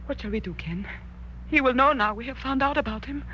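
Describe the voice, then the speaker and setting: A woman's voice: high voice, a little fast, bright, forceful tone, lo-fi sound, a little hiss or hum. An actress playing an anxious, devoted royal lady in a 1940s radio drama, earnest and dramatic. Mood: worried.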